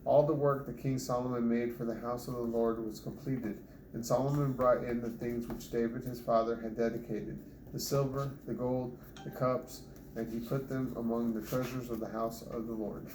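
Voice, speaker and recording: A man reading scripture aloud in a measured, deliberate manner. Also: mid-range voice, flat delivery. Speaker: Bible reader. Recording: roomy echo with clear background noise.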